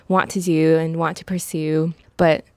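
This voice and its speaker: A young woman, a newspaper reporter, speaking softly and a little hesitantly in a casual radio interview. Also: sits low, level tone, a little slow, smooth, no room sound. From grew up in China and Washington, D.C. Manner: soft-spoken, self-described shy, hesitant with fillers.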